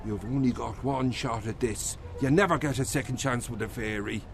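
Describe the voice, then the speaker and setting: A man's voice: mid-range voice, moderate pace, gravelly, over music, natural voice. A man playing an earnest, fatherly mentor in a radio drama, giving stern but kind advice.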